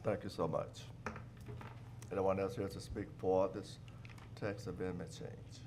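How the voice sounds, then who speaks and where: A man's voice: sits low, fairly even intonation, measured pace, a little mellow, slight background noise. A man chairing a city council hearing, making brief, formal procedural announcements from a distance.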